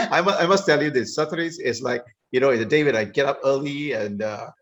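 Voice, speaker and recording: A man chatting on a video call, talkative and quick to laugh. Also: medium pitch, expressive. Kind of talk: casual video call chat. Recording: dull video call audio.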